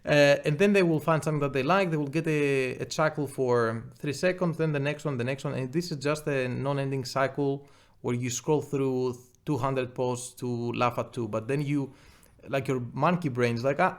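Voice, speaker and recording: A man co-hosting a podcast in rambling casual chat, laughing and cracking crude jokes. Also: medium pitch. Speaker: podcast co-host.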